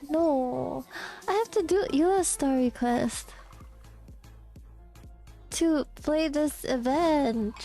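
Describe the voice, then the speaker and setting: A woman's voice: high-pitched, warm tone. A young woman from Singapore with a Singaporean English accent, chatting and giggling on a casual gaming livestream. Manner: giggly, chatty, talks back to the game and chat. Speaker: streamer.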